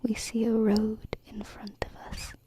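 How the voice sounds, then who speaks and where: A woman whispering softly and intimately into a close binaural microphone in an ASMR roleplay as a gentle, adoring alien princess, with hesitant pauses. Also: fairly high pitch, monotone. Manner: soft, slow, hesitant phrasing with pauses.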